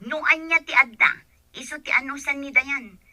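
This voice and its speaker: A woman's voice: sits high, steady tone, normal speed, bright, forceful tone, dry recording, clean studio sound. A woman playing a stern, unyielding wife and mother in a radio drama, firm and curt. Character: stern, thrifty mother working abroad, unyielding.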